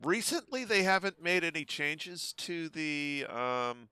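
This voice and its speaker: A man's voice: lively intonation, slow, pushed and bright, clean tone, dry recording. A man, chatting casually while streaming a game, full of ums. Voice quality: relatively low voice. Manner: easygoing, chatty, many ums. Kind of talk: casual gameplay livestream chat. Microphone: desk microphone at home.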